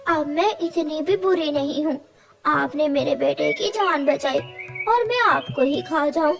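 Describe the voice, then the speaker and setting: Woman playing a high-voiced emotional mother eagle. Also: unhurried, vocal fry, over music. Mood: defensive, grateful. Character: mother eagle, emotional, defensive but grateful. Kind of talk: children's cartoon dialogue.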